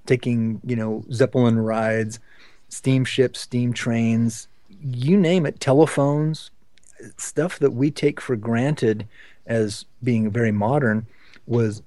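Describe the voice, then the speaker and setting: A male co-host with an average-pitched voice, recorded close and dry. He talks casually and discursively, halting as he works through his thoughts. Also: steady tone, warm tone, dry recording.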